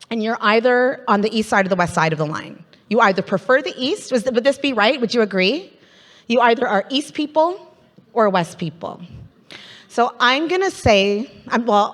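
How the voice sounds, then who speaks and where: A Canadian woman hosting a live business event as MC, chatty and playful as she draws the audience in over a stage microphone. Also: on the high side. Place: large conference hall. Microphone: handheld stage microphone. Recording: reverberant conference hall.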